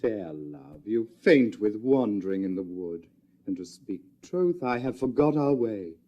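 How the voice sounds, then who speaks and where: A man's voice: on the low side, sing-song, measured pace, dark tone, lo-fi sound, a little hiss or hum. A man playing Lysander, an ardent young lover speaking earnest, impassioned verse. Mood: tender. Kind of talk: stage drama acting.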